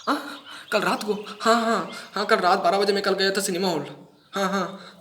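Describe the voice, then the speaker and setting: Man acting a high, bright, pleading petty pickpocket. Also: fairly even intonation, normal speed, roomy sound. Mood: startled. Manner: pleading, street slang, repetitive, servile. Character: small-time male pickpocket pleading innocence to police, anxious, whiny. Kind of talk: acted audition monologue. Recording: noisy amateur recording with clicks.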